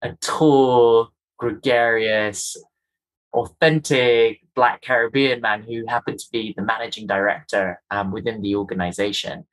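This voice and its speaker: A man from Kenya with a softened Kenyan accent, a guest telling his story in an interview with earnest, emphatic repetitions. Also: fairly low pitch, fairly even intonation, measured pace, poor audio.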